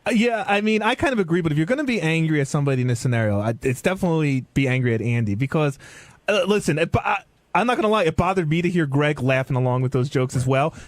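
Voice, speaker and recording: Rapid, emphatic man debating on radio. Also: a little high, sing-song, no echo. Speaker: radio show regular. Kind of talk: animated casual panel debate.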